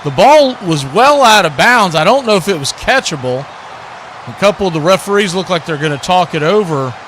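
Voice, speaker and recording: Male sports commentator, higher-pitched, bright forceful delivery, clipped play-by-play phrasing, light background noise. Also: expressive, normal speed. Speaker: play-by-play host of a football watch party.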